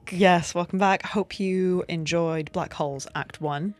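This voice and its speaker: A woman's voice: on the low side, steady tone, a little slow. A woman hosting a radio interview in a casual, conversational style. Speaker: host. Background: faint music.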